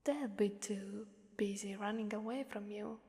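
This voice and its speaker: Slow, breathy demoness in cavernous reverb, acted by a woman. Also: mid-range voice, fairly even intonation, warm tone, lightly acted. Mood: threatening, amused. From Italy. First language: Italian. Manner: slow, breathy half-whispered, playfully menacing. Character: seductive, taunting female Krampus demon ruling a fighting arena. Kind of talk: ASMR roleplay voice acting.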